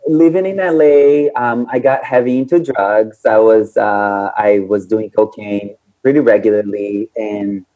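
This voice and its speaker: A man's voice: fairly low pitch, fairly even intonation, measured pace, hardly any pauses, dark tone, poor audio. Flamboyant Brazilian-accented man. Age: 30s. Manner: flamboyant, affectionate, laughs often. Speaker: guest, meditation coach. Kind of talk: casual interview chat.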